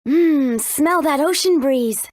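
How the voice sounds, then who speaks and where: A woman's voice: sits high, slow pace, a little mellow, no room sound, studio quality. An actress playing a spirited, direct teenage girl adventurer in a video game. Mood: upbeat.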